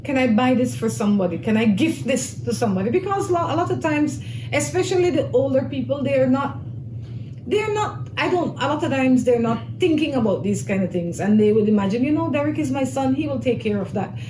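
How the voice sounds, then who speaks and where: A woman's voice: high-pitched, lively intonation, a little fast. A woman hosting a talk show, interviewing a guest and restating his answers to check them. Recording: echoey room with noise.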